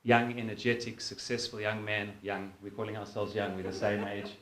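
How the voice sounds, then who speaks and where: A man's voice: lowish voice, level tone, moderate pace, a bit bright. A man with a South African accent giving a formal convention speech from a podium, thanking guests with informal asides and hesitations. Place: synagogue hall. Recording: echoey hall with faint ambience.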